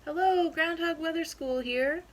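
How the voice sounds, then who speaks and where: A woman voicing an excited storybook groundhog operator who takes weather reports with brisk, perky announcing. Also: very high-pitched, pitched above the performer's own voice. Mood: eager.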